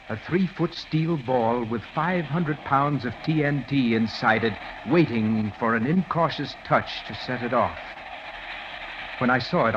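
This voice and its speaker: An American actor playing a fussy forty-year-old professor, precise and bookish with suppressed agitation, in 1940s radio drama style. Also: male voice, mid-range voice, natural voice. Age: 40s. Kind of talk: 1940s radio acting, first-person narration. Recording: muffled, hissy 1940s radio recording.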